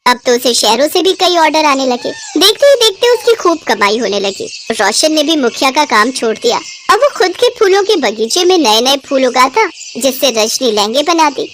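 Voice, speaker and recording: A woman narrating a children's moral cartoon story in a steady, clear way. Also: high voice, a little hiss or hum.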